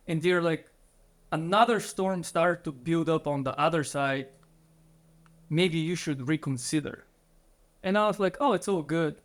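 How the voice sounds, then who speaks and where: A man from Bulgaria, in his thirties, earnestly telling his story as an interview guest, with slightly phrasing. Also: on the high side.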